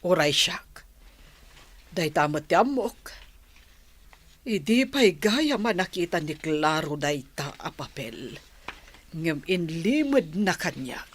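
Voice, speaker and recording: A woman's voice: deep voice, sing-song, takes it slowly, long pauses, slightly rough, heavily acted. A woman playing an elderly, protective grandmother and head of a household in a radio drama. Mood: worried, reflective. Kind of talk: Ilocano radio drama acting.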